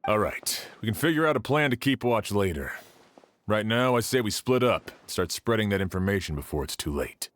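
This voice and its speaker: A man's voice: fairly low pitch, expressive, normal speed, a bit bright, a little hiss or hum. A man playing a stern but fair sheriff in a video game, speaking with firm, composed authority. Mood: brisk.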